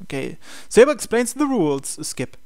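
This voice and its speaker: A man's voice: high-pitched, big pitch swings, moderate pace, gravelly, darkish tone, no echo. A man hosting a game video, reading visual novel text aloud and adding his own asides. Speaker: gaming video host.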